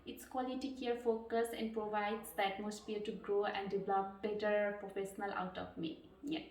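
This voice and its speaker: A woman in her thirties from Nepal, answering mock job interview questions earnestly, pausing with fillers and restarting phrases. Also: medium pitch, little pitch movement, a little mellow. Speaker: job candidate. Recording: echoey room, distant mic.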